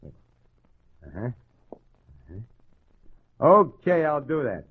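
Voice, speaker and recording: Husky, wisecracking small-time gangster. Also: male voice, medium pitch, very animated, extremely slow, heavily acted. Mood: casual, lively. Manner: slangy, wisecracking tough-guy talk. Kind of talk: 1940s radio crime drama acting. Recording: muffled old radio broadcast.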